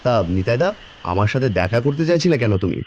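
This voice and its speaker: A man's voice: mid-range voice, big pitch swings, quick pace, darkish tone, highs cut off, a little hiss or hum, natural voice. A man playing a friendly, plain-spoken village farmer in a cartoon, companionable in manner. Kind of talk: Bengali cartoon voice acting.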